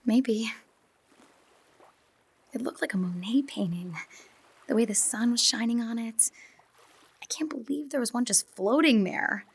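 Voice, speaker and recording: A woman playing Marie, a wistful, teasing young woman in an audio drama, with a slightly gravelly voice and soft breathy asides. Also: on the high side, measured pace, pauses often.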